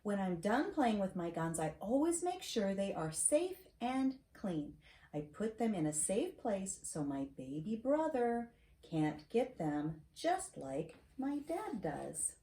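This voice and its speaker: A middle-aged American woman reading a storybook aloud to a child, with casual asides and questions, in an expressive, teacherly manner. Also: medium pitch, slow. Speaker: host reading to her granddaughter. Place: home library, seated at a desk. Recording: roomy echo, clear background noise.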